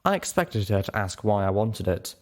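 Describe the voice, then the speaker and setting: A man's voice: lowish voice, big pitch swings, moderate pace. A man narrating a story in the first person as a dramatic scripted reading. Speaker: story reader.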